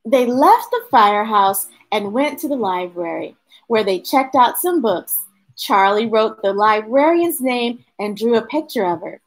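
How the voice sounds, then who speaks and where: A middle-aged woman reads a children's picture book aloud at an unhurried pace. Her voice sits a bit high and moves up and down a lot. She stretches words for emphasis and chats to the young listeners between lines. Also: rough recording. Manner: expressive, drawn-out delivery addressing young listeners. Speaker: storytime host reading a picture book aloud. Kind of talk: read-aloud storytelling with chatty asides to children. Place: small indoor room with bookshelves.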